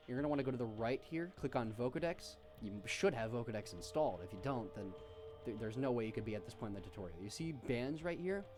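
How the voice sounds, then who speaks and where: Guy doing a laid-out software how-to, offhand and slangy, middle pitch, bit of music in the back. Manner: offhand, informal, slangy asides. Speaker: tutorial host. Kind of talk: casual software tutorial narration.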